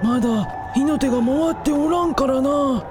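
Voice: high voice, moderate pace, music in the background, close to an everyday voice. A performer in a radio drama voicing a worried, folksy elderly village man with fretful old-fashioned speech. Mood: weary, worried. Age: older.

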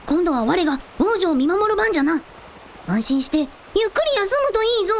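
A very high, lively young dragon prince for a radio drama. He is earnest and boyish, talking in quaint lordly old-fashioned phrases with childlike openness. There is noticeable noise behind the voice. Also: brisk, exaggerated voice. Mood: concerned, tender. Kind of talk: Japanese radio drama acting.

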